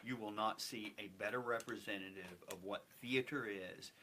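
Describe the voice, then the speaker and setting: A man with a lowish, bright and forceful voice talks as an interview guest. He is effusive and emphatic in a casual way, with faint rumbling noise behind him. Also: moderate pace. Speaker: theater company member, guest.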